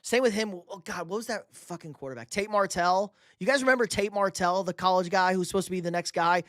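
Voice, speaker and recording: Young dude on a sports livestream, kinda high voice, cussing, joking, reading chat, dead dry mic. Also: male voice, a little fast, a bit bright, no echo. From New York. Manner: loose, profane, jokey sports banter. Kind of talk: casual live stream chat.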